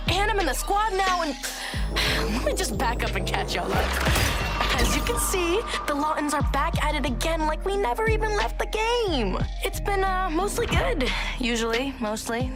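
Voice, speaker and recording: A woman playing a sassy, defiant eighteen-year-old daughter in a video game, talking in casual, sarcastic slang. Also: high-pitched. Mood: chatty, upbeat. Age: young adult. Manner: sarcastic, exasperated, teenage slangy delivery.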